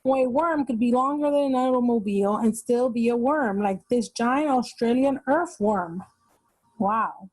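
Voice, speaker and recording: A woman's voice: fairly high pitch, unhurried, a little mellow, no room sound, poor audio. A woman reading a children's nonfiction book aloud, explaining as she goes and pointing out the pictures. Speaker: read-aloud host for children.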